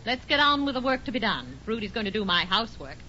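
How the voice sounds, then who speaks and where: A woman playing a brisk, bossy, expressive housewife in a 1940s radio situation comedy. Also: medium pitch. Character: brisk, no-nonsense housewife taking over the office. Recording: muffled 1940s radio recording, faint noise.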